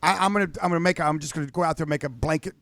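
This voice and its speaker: A man's voice: a little high, very fast, fairly bright tone, no echo, clean studio sound. A man co-hosting a podcast with casual, mock-solemn joking banter. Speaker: podcast co-host.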